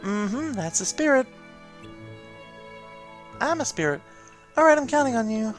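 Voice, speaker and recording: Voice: mid-range voice, very animated, a little slow, faint music behind, pitched above the performer's own voice. A person voicing a small, eager spirit familiar from a video game in a chirpy, encouraging way. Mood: cheerful, coaxing. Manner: bubbly, encouraging, coaxing.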